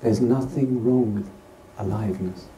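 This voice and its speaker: A man leading a guided meditation as a teacher, speaking gently and unhurriedly in short phrases with pauses between them. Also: fairly low pitch, fairly even intonation. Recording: distant roomy recording with faint noise.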